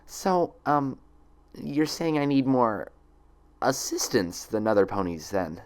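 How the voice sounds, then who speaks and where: Man doing an anxious young mare, high-pitched. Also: big pitch swings, slow, a little mellow. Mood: hesitant, suspicious. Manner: urgent, hesitant, insistent. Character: anxious, questioning young adult female pony patient.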